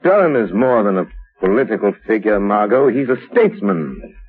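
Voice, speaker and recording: An actor playing a calm, confident gentleman detective in a 1930s radio drama, speaking in an assured, precise way. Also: lowish voice, moderate pace. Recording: tinny old radio broadcast.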